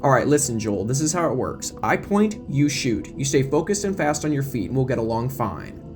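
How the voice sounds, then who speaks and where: A man's voice: mid-range voice, very animated, a little fast, music in the background, close to an everyday voice. A man voicing a brusque, self-assured woman television reporter in an audio drama, curt and dismissive. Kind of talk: audio drama character acting.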